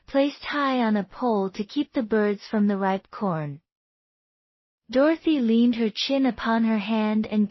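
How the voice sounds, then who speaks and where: A woman's voice: a little high, no echo, highs cut off. A woman narrating a scripted children's bedtime story as a read-aloud.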